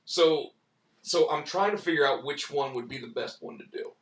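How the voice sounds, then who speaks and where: Man, hoarse, rambling casual host, mid pitch, roomy and off-mic. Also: moderate pace, far from the mic. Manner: rambling, many restarts and "like" fillers. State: losing his voice, hoarse. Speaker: comic book writer and stream host. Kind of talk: casual live-stream chat.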